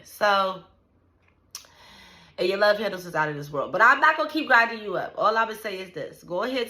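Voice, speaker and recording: A woman in her early thirties, talking straight to her viewers and venting about her life. She is blunt and profane and fills her talk with "like." Her voice sits a little low but comes across bright and forceful. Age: 30s. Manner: blunt, profane and animated, trailing "like" and "you know what I'm saying". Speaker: vlogger. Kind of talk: casual venting to the camera.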